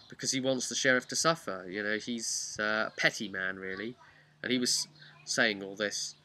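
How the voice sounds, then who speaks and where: Bright, forceful man recapping a game. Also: fairly low pitch, slight background noise. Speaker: game master recapping a tabletop campaign. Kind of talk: casual unscripted storytelling recap.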